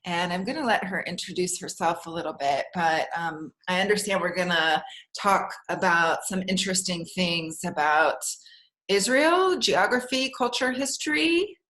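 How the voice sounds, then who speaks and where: A woman's voice: fairly low pitch, lively intonation, normal speed, fairly bright tone. Friendly woman interviewer. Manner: friendly, with hesitant fillers. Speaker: interviewer, host. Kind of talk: casual interview.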